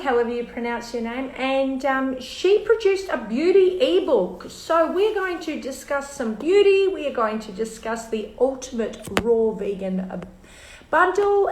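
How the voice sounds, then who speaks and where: Chatty Australian woman, high voice. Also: roomy sound, slight background noise, low-quality recording. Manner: chatty, filler-heavy, easygoing. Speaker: host. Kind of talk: casual live-stream interview.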